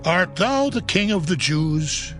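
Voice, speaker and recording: A man's voice: sits high, animated, takes it slowly, a bit bright, exaggerated voice. An actor playing Pilate, a male Roman governor, questioning in formal old-fashioned speech in a dramatized scripture reading with music behind. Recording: audio drama with music bed.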